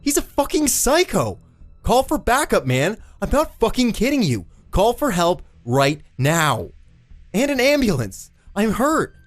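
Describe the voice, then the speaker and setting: A man's voice: medium pitch, big pitch swings, moderate pace, dry recording, faint music behind, natural voice. A man voicing an injured, trapped mechanic in an audiobook, desperate, foul-mouthed and strained. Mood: frantic, furious. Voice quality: croaking, strained. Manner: shouting, pleading, exasperated. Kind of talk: dramatised audiobook character.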